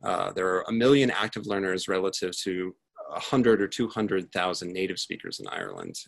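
This is a man with a fairly low voice. He speaks as a presenter giving a prepared research talk. His delivery is that of someone explaining work to an audience. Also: very animated, brisk, creaky. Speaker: conference speaker, tech research lead.

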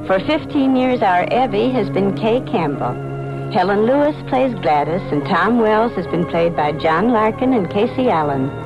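Female radio star reading a scripted sign-off, medium pitch, lo-fi old recording. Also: lively intonation, normal speed, music in the background. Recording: dull vintage radio recording.

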